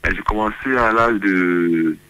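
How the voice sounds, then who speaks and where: Deep, bright-voiced man from Congo. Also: a little slow, clear, resonant tone, no room sound, low-quality recording. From Congo, Kinshasa. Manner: hesitant, searching for words. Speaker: musician, interview guest. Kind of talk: casual interview talk.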